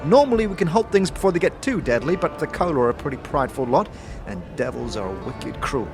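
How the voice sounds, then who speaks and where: A man voicing an excitable innkeeper and showy salesman with rapid-fire, rhyming patter in a fantasy audio game. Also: a little high, pitched above the performer's own voice. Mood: brisk, bargaining. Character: manic, fast-talking male innkeeper and showy salesman. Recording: music under the voice.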